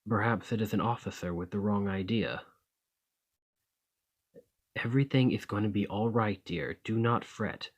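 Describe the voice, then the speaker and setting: A man playing a courteous, protective vampire of the 1820s in an ASMR roleplay, with gentle, old-fashioned formal phrasing. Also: fairly low pitch, normal speed, pauses often, close to an everyday voice. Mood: worried, soothing.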